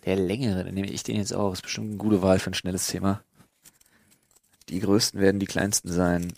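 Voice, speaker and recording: A man's voice: low-pitched, little pitch movement, fairly quick, gravelly, a little mellow, no echo. A man chatting casually as a podcast co-host, joking in slang with English expressions mixed in.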